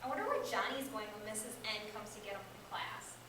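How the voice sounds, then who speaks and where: A woman's voice: medium pitch, big pitch swings, fast talker, natural voice. A young American woman playing an eager, nosy school-age classmate in amateur reader's theater. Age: young adult. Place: echoey indoor room. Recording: echoey room, distant microphone, background hiss.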